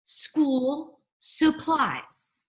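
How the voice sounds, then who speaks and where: A woman's voice: high voice, very animated, very slow, a little hiss or hum, full character voice, poor audio. A woman playing a bossy, scheming thirteen-year-old best friend in a sitcom table read. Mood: bossy, pressed.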